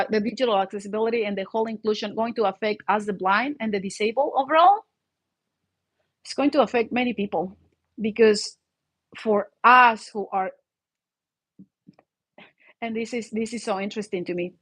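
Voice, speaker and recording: A woman's voice: a little high, husky. A woman from Mexico with a Mexican accent and Spanish as her first language hosts an informal solo vlog, talking in a rambling, conversational way and often checking for agreement.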